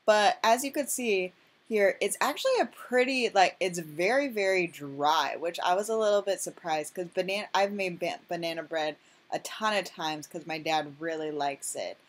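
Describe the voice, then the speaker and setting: A woman vlogger narrates her kitchen meal prep in a relaxed, chatty voice-over. Her voice sits on the low side, and she rambles, corrects herself and pokes fun at herself. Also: normal speed. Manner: chatty, self-deprecating, rambling with fillers. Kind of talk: casual cooking voice-over.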